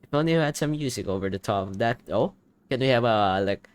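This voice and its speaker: A man's voice: mid-range voice, lively intonation, quick pace, clear, resonant tone. A young man giving casual, informal gaming reaction commentary, thinking aloud as he goes. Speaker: gaming reaction video host.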